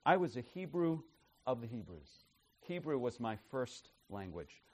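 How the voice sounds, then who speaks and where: A man preaching an expository sermon, emphatic and tagging his points with "right?", his loudness swinging from soft asides to forceful declarations. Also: mid-range voice, big pitch swings, a little slow, husky. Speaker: pastor.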